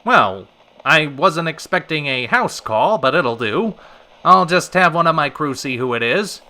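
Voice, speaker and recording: Man acting as old-time radio show host, high-pitched, very forceful bright voice, polished, dryly sarcastic. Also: lively intonation, unhurried, heavily acted. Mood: polished, wry. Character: wry, smooth-talking male radio host in a pulp city.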